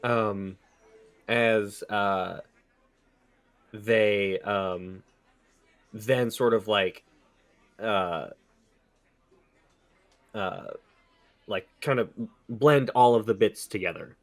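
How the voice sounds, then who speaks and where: Man, tabletop player, fairly low pitch, very unhurried pace, hesitant improvised narration. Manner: hesitant, searching for words.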